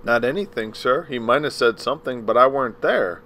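A man voicing a polite, frightened farmhand on a witness stand, speaking softly and hesitantly. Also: mid-range voice, expressive, a little fast, slight background noise, natural voice. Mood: pleading. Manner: polite, hesitant, soft-spoken. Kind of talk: audiobook character reading.

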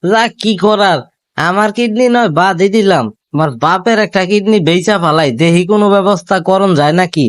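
A man's voice: sits high, normal speed, no echo, natural voice. A man voicing a hapless, scheming young son in a comic cartoon, whiny and exclaiming, with a Bangladeshi accent. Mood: exasperated, whiny.

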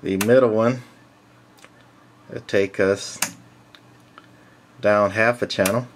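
A man giving a casual, unscripted walk-through in a halting, matter-of-fact way, like a hobbyist collector presenting his gear. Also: lowish voice, steady tone, a little hiss or hum. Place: indoors, small room. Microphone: handheld camcorder's built-in mic.

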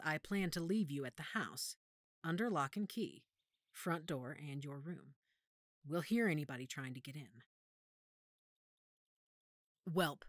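A woman playing a motherly, firm female werewolf pack leader in an audio drama, measured and authoritative. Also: deep voice, fairly bright tone, no room sound, clean studio sound.

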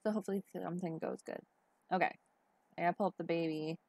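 A woman's voice: on the low side, fairly even intonation, fast, a little mellow, a little hiss or hum. A woman in her thirties casually chatting through a life-simulation game, sniffly and congested, on a headset at home. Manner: chatty, thinks aloud, sniffly from allergies. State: stuffed-up nose. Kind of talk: casual gameplay commentary. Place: home room at a desk. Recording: game music under the voice. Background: game music.

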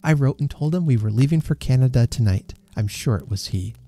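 A man voicing a gentle, hopeful young woman in a story, speaking in an earnest, wondering way. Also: mid-range voice, lively intonation, brisk. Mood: gentle reproach.

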